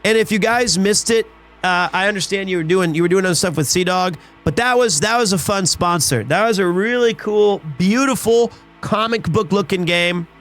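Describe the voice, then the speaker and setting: A man in his thirties, chatting casually with viewers on a live stream, slightly raspy and hoarse after being sick, rambling and excitable. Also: high-pitched, moderate pace, soft background music. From Wales. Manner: rambling, restarts often, excitable.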